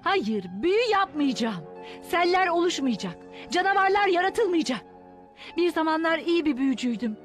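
An earnest, proud sorceress in a dubbed cartoon. Her voice is very high and she speaks with dramatic emphasis over soft music. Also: big pitch swings, normal speed. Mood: defiant.

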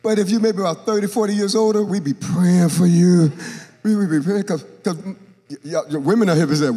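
An older man, a bishop, preaching a sermon on a church stage into a handheld mic, acting out Bible characters and joking with the congregation. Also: a little high. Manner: animated, acts out Bible characters, jokes with the congregation. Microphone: handheld microphone. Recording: long reverb of a large church hall.

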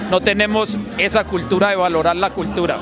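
Man, Antioquian accent, high pitch, earnest singer chatting outdoors, handheld mic, noisy crowd. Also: fairly even intonation, fairly quick. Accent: Colombian Spanish, Antioquia. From Antioquia, Colombia. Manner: earnest, chatty, with frequent restarts. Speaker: singer and songwriter. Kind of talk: casual interview chat. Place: outdoors at a busy urban gathering. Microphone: handheld mic held by interviewer. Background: crowd chatter and loud music.

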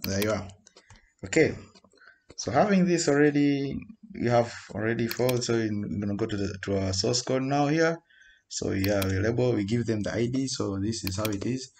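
A man giving an informal, halting screen-recorded programming tutorial as he types. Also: mid-range voice, a little mellow. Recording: noisy home recording with keyboard clicks. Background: keyboard typing and mouse clicks.

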